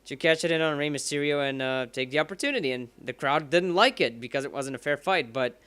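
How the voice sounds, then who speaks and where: A young man talks in a bright, pointed tone with a clean, crisp voice, his pitch sitting a little high. He rambles loosely from topic to topic as he plays a game, swearing freely and snapping at the game. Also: fast talker. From Bulgaria. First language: Bulgarian. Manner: rambling and profane, curses at the game. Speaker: let's play commentator. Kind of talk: rambling casual commentary while gaming.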